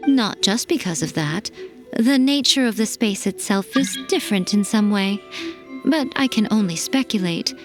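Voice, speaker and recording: A woman voicing a sly, aloof female fox familiar in a video game, teasing and knowing in an unhurried way. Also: medium pitch, lively intonation, over music, natural voice. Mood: musing.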